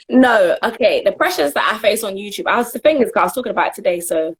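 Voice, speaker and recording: A woman's voice: mid-range voice, animated, brisk, slightly rough. A young woman from Jamaica, a guest in a casual interview, answering earnestly with frequent laughs and restarts. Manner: earnest and giggly, trails off and restarts.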